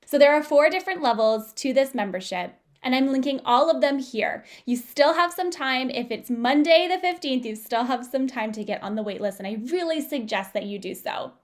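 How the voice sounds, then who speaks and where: A woman's voice: sits high, expressive, normal speed, hardly any pauses. A young woman hosting a podcast, speaking with upbeat enthusiasm and laughing often. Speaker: podcast host. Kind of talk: podcast promo and interview.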